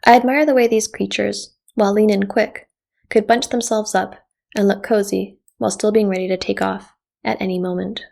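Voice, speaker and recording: Creaky-voiced woman reading an artist statement. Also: mid-range voice, fairly even intonation, darkish tone. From Canada. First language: Japanese. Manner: measured, reflective reading. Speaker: visual artist. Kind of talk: scripted artist statement narration.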